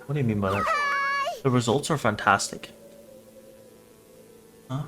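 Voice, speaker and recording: A man's voice: mid-range voice, moderate pace, heavy background noise. A man doing a casual livestream reaction, reading captions aloud and commenting in a joking, irreverent, sweary way. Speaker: streamer reacting to horror videos.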